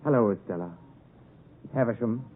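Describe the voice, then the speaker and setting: An actor playing an earnest, proper young gentleman in a 1950s radio drama, speaking formally and dramatically. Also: mid-range voice, a little fast, husky, natural voice. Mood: grave. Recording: muffled narrowband 1950s radio broadcast recording.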